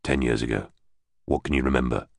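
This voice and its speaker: A man's voice: rumbling low, brisk, vocal fry, dry recording, studio quality, voice pushed down, full character voice. A man voicing a tough ex-army psychic in an audiobook, curt and menacing, with occasional swearing. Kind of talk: audiobook character voice.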